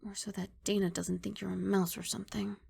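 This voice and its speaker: A woman voicing a kindly young giant dragon girl in an ASMR roleplay, speaking in a soft, coaxing, reassuring and slightly bashful way. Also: medium pitch, little pitch movement, fairly quick, creaky, husky, dry recording, exaggerated voice. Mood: reassuring, gentle.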